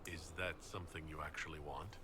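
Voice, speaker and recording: A man's voice: rumbling low, fairly even intonation, a little fast. A man playing an earnest, caring heroic leader in a fantasy video game, asking questions politely. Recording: game audio with noise behind.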